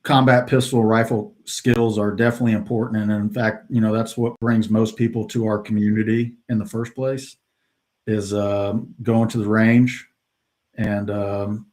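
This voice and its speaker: Laid-back low-voiced middle-aged man. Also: normal speed. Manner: relaxed storytelling with many fillers. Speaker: podcast guest, retired special forces soldier. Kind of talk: casual interview talk.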